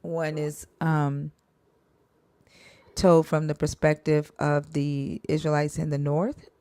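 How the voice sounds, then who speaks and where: A woman with a low voice hosts a casual live stream, answering questions in a halting, restarting way. Her voice sounds close and dry, with no room around it. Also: level tone, a little mellow, no room sound. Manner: halting, many fillers and restarts.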